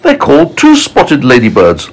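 A proud, chatty lady ladybird from a storybook, voiced by a man, speaks in a genteel, fussy way. The pitch swoops up and down over a husky tone. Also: wildly swooping pitch, normal speed, exaggerated voice. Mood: snobbish, fussy. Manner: genteel, self-satisfied, fussy.

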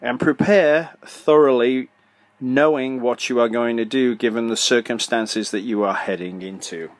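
A middle-aged man talks straight into a close podcast microphone, practical and direct, asking questions and answering them himself. His voice sits at an ordinary male pitch. The recording is dry, with no room sound. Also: fairly even intonation, measured pace, dry recording. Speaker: podcast host, motorcycle suspension tuner. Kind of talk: solo podcast advice talk.

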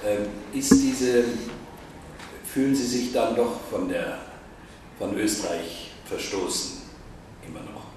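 An older man interviewing a guest in a café, asking long, halting questions full of fillers. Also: on the low side, animated, noisy background. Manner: hesitant, many fillers. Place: crowded café, indoors. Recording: echoey room from far off.

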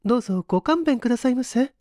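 Guy doing a proper young miss from an audiobook, high voice, super polite, dry recording. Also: lively intonation, fast talker, no room sound. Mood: polite, apologetic. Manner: very polite, soft feminine speech. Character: polite, refined eighteen-year-old young lady, professor's daughter.

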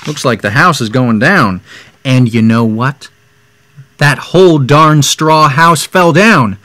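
A man's voice: medium pitch, takes it slowly. A young man reading a picture book aloud expressively, with casual asides. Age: young adult. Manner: expressive, dramatizing the story. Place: room at home, indoors.

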